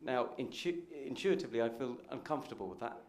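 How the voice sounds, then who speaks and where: A man in the audience asking a question, explaining his point deliberately. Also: mid-range voice, lively intonation.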